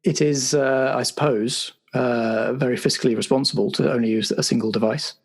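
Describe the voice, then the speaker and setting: A man's voice: medium pitch, fairly even intonation, moderate pace, breathy edge. A man playing an officious spy agency superior in an improvised roleplay, speaking in a hesitant, formal, politely pedantic way. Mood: dry, formal. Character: officious, bureaucratic male spy agency superior running a debriefing. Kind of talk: improvised roleplay audio drama.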